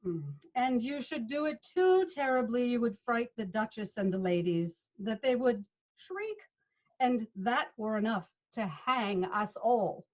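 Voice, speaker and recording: A woman's voice: fairly high pitch, unhurried, a little mellow. A woman playing a patient male leader of amateur players in a Shakespeare read, instructing expressively over a video call. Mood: coaxing. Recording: dull video-call audio.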